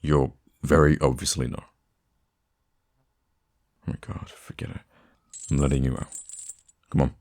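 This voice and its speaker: A man playing a gruffly caring, teasing supervillain who comforts a nemesis in an intimate ASMR roleplay, with a deep, gravelly voice. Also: flat delivery. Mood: bossy, caring. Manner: soft-hearted, teasing, halting when flustered.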